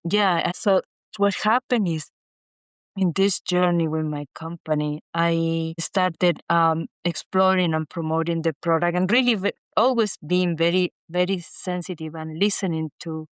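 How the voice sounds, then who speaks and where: A middle-aged woman from Chile, a guest speaking haltingly with many restarts and repeated words in a casual interview. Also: fairly low pitch, fairly even intonation, clean tone, no room sound. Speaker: guest, inventor.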